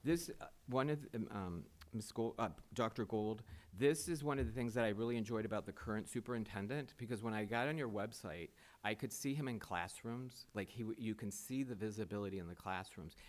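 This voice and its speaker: A man's voice: on the low side, fairly quick, hardly any pauses. A man interviewing for a superintendent job, answering in an earnest, rambling way with many fillers and restarts. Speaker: superintendent candidate. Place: school board meeting room.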